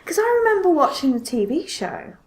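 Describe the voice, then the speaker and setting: Higher-voiced woman, casual and tentative. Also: big pitch swings, fairly quick. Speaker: podcast co-host. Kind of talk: casual film discussion chat.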